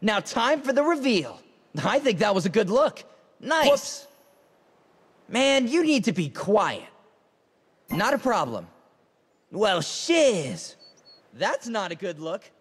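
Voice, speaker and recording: A man's voice: high-pitched, big pitch swings, slow, long pauses, long reverb tail, slight background noise, exaggerated voice. A man voicing a cocky, playful young graffiti-artist fighter in a video game, brash and teasing with slangy short callouts.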